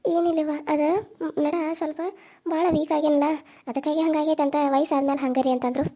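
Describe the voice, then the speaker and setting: A woman's voice: high voice, flat delivery, a little fast, dark tone, close to an everyday voice. A woman voicing a stern older village mother-in-law with a North Karnataka accent, talking in a bossy, scolding way. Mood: weary. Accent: North Karnataka Kannada.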